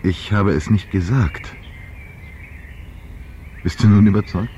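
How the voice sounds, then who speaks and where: An actor playing an assured investigator in a radio play, explaining and questioning with patient insistence. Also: male voice, lowish voice, fairly even intonation, takes it slowly, mellow. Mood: insistent. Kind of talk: German radio play acting. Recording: dull vintage radio sound, faint noise.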